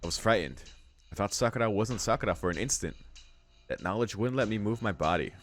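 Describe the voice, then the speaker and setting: A man's voice: fairly low pitch, lively intonation, fairly quick, fairly bright tone, a little hiss or hum. A man hosting a let's read, narrating visual novel text aloud and adding casual reactions of his own. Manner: casual, swearing asides between read lines. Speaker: let's play host, reader. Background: game music.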